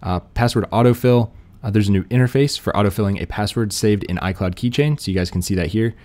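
A man's voice: fairly low pitch, quick pace, a little mellow. A man giving casual, laid-back tech news commentary in an informal, slangy way.

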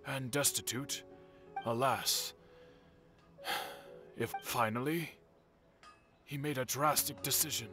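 Actor as a grave old narrator in a game, mid-pitched, sighing, music under. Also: male voice, a bit bright, lightly acted. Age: older. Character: elderly male storyteller, grave, solemn. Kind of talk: video game dialogue. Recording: faint game music behind.

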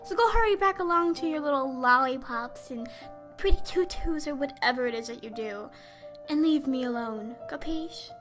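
A teenage girl voicing a gruff, dismissive cynic who talks down to children in a dramatic monologue. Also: sits high, normal speed, a bit bright, faint music behind. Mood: unamused. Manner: curt, condescending. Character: gruff, dismissive cynic scolding a little kid.

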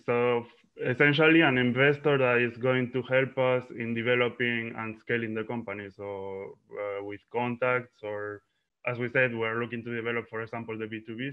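A man speaks as a startup founder on a panel, his voice smooth and resonant. He keeps a flat, even delivery. The video call audio sounds dull. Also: medium pitch, normal speed. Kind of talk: panel discussion answer.

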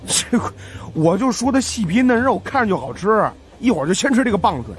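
A man doing a cocky, animated wisecracking fat investigator. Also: high-pitched, a little fast, a little hiss or hum, voice pushed up. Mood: amused. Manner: mocking, flippant, drawling.

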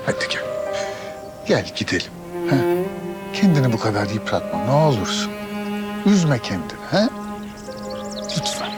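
An actor plays a middle-aged father, protective and wary, yet caring. His medium-pitched voice is natural television drama dialogue over very prominent music. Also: sing-song, moderate pace, long pauses, natural voice. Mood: tender, coaxing.

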